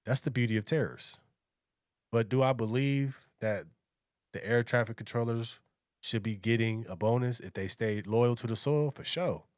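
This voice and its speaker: A man's voice: mid-range voice, moderate pace, clean tone, no room sound, studio quality. An American man giving casual, opinionated commentary as a reaction video host, in slangy, conversational speech. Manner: colloquial, slangy, conversational delivery.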